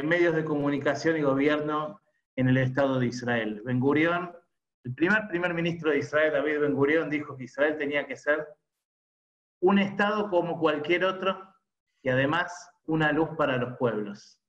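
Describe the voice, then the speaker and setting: A man from Argentina, introducing a guest speaker with a halting, filler-heavy delivery. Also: on the high side, fairly bright tone. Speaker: event host. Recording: lo-fi video call audio.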